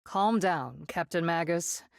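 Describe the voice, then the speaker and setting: A woman playing a stern, composed colonel in a video game, speaking formally and with measured authority. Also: lowish voice, creaky, no room sound, clean studio sound. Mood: calm, formal. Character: stern, composed female colonel commanding soldiers. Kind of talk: video game voice acting, military briefing.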